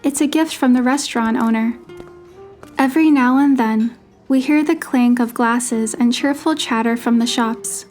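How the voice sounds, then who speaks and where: Woman as high-voiced gentle young romantic heroine. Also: moderate pace, lightly acted. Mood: gentle narration. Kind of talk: scripted first-person narration and dialogue. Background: background music with guitar.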